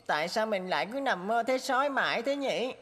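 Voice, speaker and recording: A woman voicing a naive, boastful and stubborn young boy donkey in an animated fairy tale. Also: a little high, a little fast. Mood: puzzled. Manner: boastful, whiny, talks to himself.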